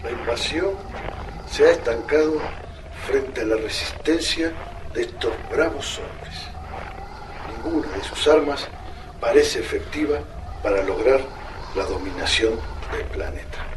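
Actor as a distant, solemn invasion chronicler. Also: male voice, mid-range voice, measured pace, close to an everyday voice. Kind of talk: formal report in radio drama. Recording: distant, very noisy effects-laden sound.

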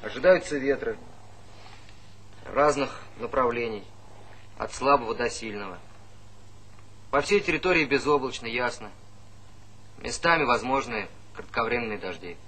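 A man's voice: medium pitch, lively intonation, moderate pace, long pauses, bright, forceful tone, husky, exaggerated voice, poor audio. An actor playing an eager, breezy young village man full of schemes in a Soviet radio play.

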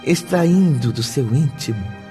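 A man's voice: on the high side, expressive, a little slow, warm tone. A man narrating a spiritual tale in a radio drama in a grave, literary manner. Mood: solemn. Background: faint background music.

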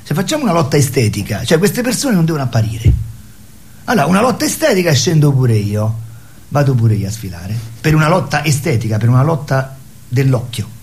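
A man arguing his opinions in an impassioned, polemical conversation, with halting, repetitive phrasing. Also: medium pitch, sing-song, a little mellow.

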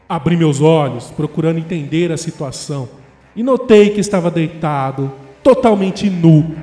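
A man's voice: a little high, warm tone. A man playing a disoriented, humiliated character giving a solemn first-person monologue in an audio drama. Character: disoriented, humiliated man recounting his grim awakening. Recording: long echoing reverb, music behind.

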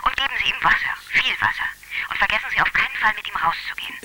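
Breezy telephone adviser, high male voice, rapid, radio drama, phone filter, faint hiss. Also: lively intonation, pushed and bright, heavily acted, rough recording. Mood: breezy, instructing.

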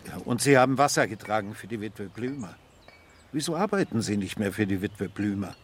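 An actor playing an insistent, condescending police inspector who probes in swings from quiet to loud in a radio drama. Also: male voice, lowish voice, lively intonation, a little fast, a little hiss or hum, natural voice. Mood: insistent, official.

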